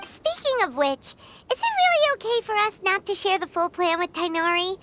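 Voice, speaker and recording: A very high, piercingly bright little fairy voice, bubbly and excitable. She exclaims with big swoops of pitch, like a tiny childlike game companion, with music playing underneath. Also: moderate pace, heavily acted. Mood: cheerful. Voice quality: squeaky, childlike. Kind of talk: video game voice acting.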